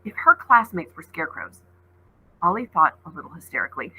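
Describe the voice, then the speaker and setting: A woman's voice: on the low side, wildly swooping pitch, a little fast, pushed and bright, a little hiss or hum, low-quality recording. A woman teacher reading a story aloud dramatically, with conversational asides to explain it.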